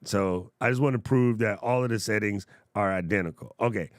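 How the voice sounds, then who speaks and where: A man hosting a casual livestream, demonstrating gear in a playful, jokey way and chatting with viewers in a naturally loud voice, close on a studio mic. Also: on the low side, a little fast, no echo. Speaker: tech reviewer livestream host. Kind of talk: casual livestream product demo. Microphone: studio broadcast mic up close.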